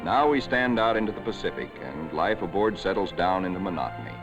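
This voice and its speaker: Man, very low voice, scripted 1940s documentary narration, measured, dull old film sound, music behind. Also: animated. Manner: measured, solemn storytelling.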